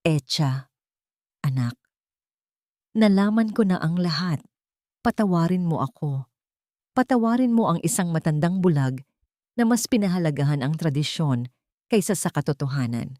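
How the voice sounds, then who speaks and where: A woman's voice: deep voice, expressive, normal speed, long pauses, warm tone, dry recording, studio quality. A woman with a Filipino accent voicing a frail, once-haughty old mother-in-law, anguished and pleading, in an audio story. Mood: remorseful, pleading.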